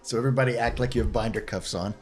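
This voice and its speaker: A man's voice: medium pitch, steady tone, rapid-fire. A man playing a tabletop role-playing game, casually talking through moves with the group.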